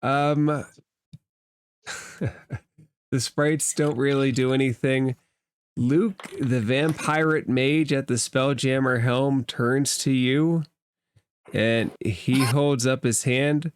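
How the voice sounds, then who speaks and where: A man narrating a tabletop roleplaying game as game master, explaining rules and addressing the players in a measured way. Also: mid-range voice, fairly even intonation, smooth, no echo.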